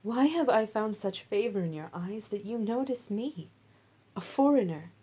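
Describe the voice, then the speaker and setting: A woman's voice: medium pitch, animated, moderate pace, warm tone, slightly rough. A woman voicing a humble, grateful young widow in a Bible story, deferential and earnest.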